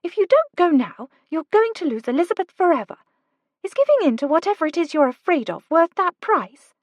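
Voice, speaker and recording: A woman voicing Kate, a spirited young woman urging her friend to act, in a higher, coaxing voice. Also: sing-song, fairly quick, no echo, lo-fi sound. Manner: urgent, coaxing.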